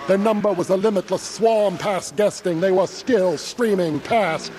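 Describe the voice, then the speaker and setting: Casting: haunted, horrified traveler, slightly high voice, solemn literary storytelling for horror radio play; noisy ambience behind. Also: male voice, a little slow, darkish tone. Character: haunted, horrified male traveler recounting his escape. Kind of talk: dramatic first-person horror narration, audio theatre.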